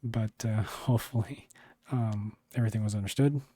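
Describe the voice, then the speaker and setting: Hoarse man, low flat voice. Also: moderate pace, no echo. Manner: rambling, many fillers and restarts. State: losing his voice, clearing throat. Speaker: video reviewer. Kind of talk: casual video review.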